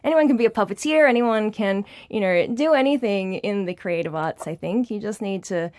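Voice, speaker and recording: A woman's voice: mid-range voice, normal speed, darkish tone, no room sound, studio quality. A middle-aged woman whose first language is Dutch, a guest on a radio interview, bubbly and laughing often, with fillers and restarts. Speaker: art academy director, guest.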